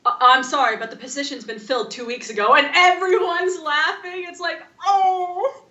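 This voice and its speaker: A woman's voice: high-pitched, normal speed, pushed and bright, roomy sound, highs cut off. A woman chatting casually as a podcast co-host. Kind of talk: casual chat.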